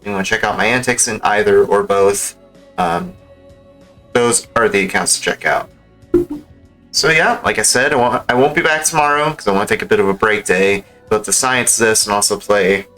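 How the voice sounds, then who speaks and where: A male game streamer with a fairly low but bright, forceful voice. He talks in a casual, rambling style, loose and slangy, as if wrapping up a livestream. Music plays behind him. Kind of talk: casual livestream sign-off chat. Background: background music.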